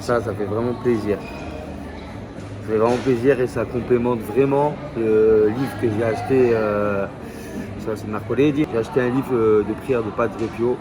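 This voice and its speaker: A man's voice: medium pitch, steady tone, unhurried. A man from France chatting casually in a travel vlog, hesitant and full of fillers and restarts. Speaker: vlogger. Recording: loud crowd noise behind.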